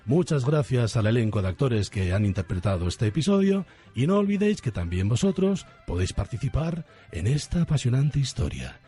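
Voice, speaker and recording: A man's voice: mid-range voice, sing-song, brisk, mellow, breathy edge, faint music behind. A man with a Spain accent hosting and narrating a parody radio soap in dramatic scripted style. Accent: Spanish (Spain). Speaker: radio show host and narrator.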